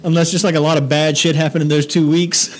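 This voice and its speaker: A man in his thirties co-hosting a podcast, chatting casually with a joking, teasing, profane manner. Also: fairly high pitch. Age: 30s. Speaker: podcast co-host.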